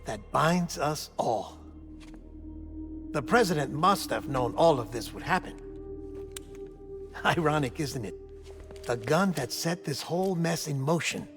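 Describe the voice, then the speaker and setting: An actor playing a wry, caring comrade in a video game cutscene, conversational and explanatory. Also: male voice, a little high.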